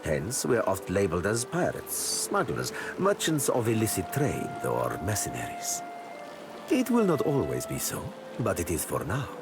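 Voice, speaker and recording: An actor playing a suave, sly pirate captain in a video game, speaking formally and courteously. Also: male voice, on the low side, huge pitch swings, moderate pace. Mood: proud, measured. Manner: formal, elaborate, courteous phrasing. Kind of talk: video game character acting. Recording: loud music behind the voice.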